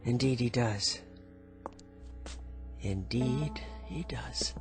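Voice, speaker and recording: Meek, slow-spoken downtrodden niece. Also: female voice, extremely low pitch, soft background music, exaggerated voice. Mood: resigned. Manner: submissive, weary, hesitant. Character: meek, downtrodden woman waiting on her tyrannical uncle. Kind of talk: radio drama acting.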